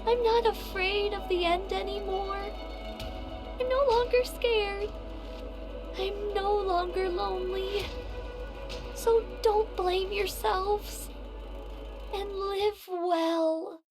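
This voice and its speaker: Very high, gentle mermaid girl. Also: steady tone, slow, pauses often, music in the background. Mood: calm farewell. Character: gentle lonely mermaid girl, devoted and self-sacrificing. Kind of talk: English game voice acting, emotional monologue.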